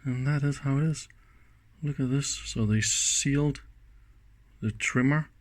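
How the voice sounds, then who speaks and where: A man presents an electronics teardown at a slow, unhurried pace. He talks casually and without a script, thinking out loud as he works and dropping in short exclamations. His voice sits at an average male pitch. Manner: musing aloud with short exclamations. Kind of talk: casual unscripted hands-on commentary.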